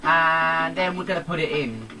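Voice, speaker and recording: A man's voice: on the high side, animated, moderate pace, music in the background. A man chatting casually as a co-host of a Let's Play gaming video.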